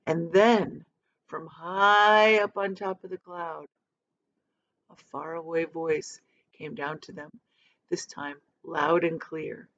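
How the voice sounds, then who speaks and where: A woman reading a children's book aloud like a teacher, expressive and dramatic, with emphatic pauses. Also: sits low, dull audio. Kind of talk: expressive storybook read-aloud.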